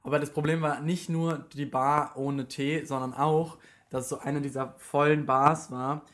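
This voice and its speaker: A young man's voice, hoarse and strained from a heavy cold, pitched a little higher than most men's. He chats casually as a podcast co-host. He often pokes fun at himself. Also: flat delivery, moderate pace, fairly bright tone. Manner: self-mocking, laughs often. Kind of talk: casual chat. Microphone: scratchy microphone.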